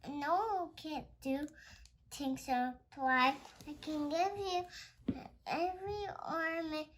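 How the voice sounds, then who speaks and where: High-pitched child reading slowly aloud. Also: a bit bright, slight background noise. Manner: halting, stumbles over words. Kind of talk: halting read-aloud of a Christmas picture book.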